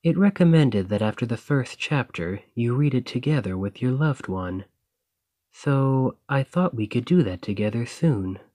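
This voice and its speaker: A man acts out a lovestruck dragon who works as a librarian, talking slowly and softly to his beloved. He is earnest, gentle and a little shy, in an intimate romantic audio roleplay with a very dry, close sound. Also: medium pitch, expressive, dry recording. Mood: tender, bashful. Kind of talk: romantic ASMR roleplay, speaking to a listener.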